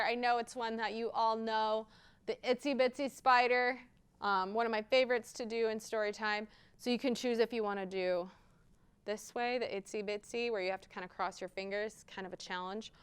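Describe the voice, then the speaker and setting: A woman's voice: a little high, steady tone, moderate pace, a bit bright, clear, resonant tone. A woman hosting a children's storytime, reading aloud expressively and speaking directly to young listeners. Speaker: library storytime host and children's reader. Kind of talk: picture-book read-aloud with interactive rhymes for young children.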